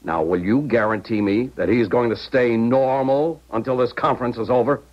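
An impatient intelligence agent played by a man in a 1970s radio play. He is blunt and pressing in a medium-pitched voice, on a dull, lo-fi recording. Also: normal speed, close to an everyday voice. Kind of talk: 1970s radio drama acting.

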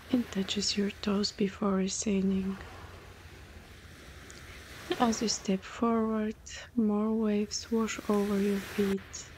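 A woman leading a guided meditation in a soothing, breathy voice that often softens almost to a whisper. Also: medium pitch. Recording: clear background noise, ambient sound.

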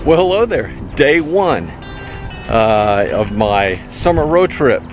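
A man's voice: medium pitch, sing-song, slow pace, loud music behind the voice. A man in his forties, a travel vlogger, chatting casually to a handheld camera outdoors and in his camper van. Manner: chatty and upbeat, with frequent fillers. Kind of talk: casual travel vlog with voice-over narration. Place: outdoors on a lakeside dam, and inside a camper van. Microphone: handheld camera at arm's length.

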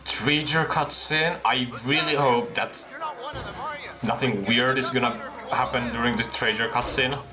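A man's voice: medium pitch, unhurried. A young man casually commenting on a horror game he is playing, into a headset mic in a small room, often yelping at surprises. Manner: excitable, yelps and laughs at surprises. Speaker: gameplay streamer.

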